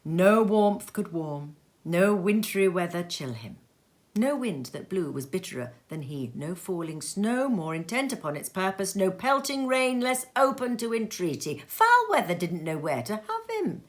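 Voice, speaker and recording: A woman reading a classic story aloud at home in her sitting room, slow and expressive, sometimes dropping to a whisper. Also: lowish voice.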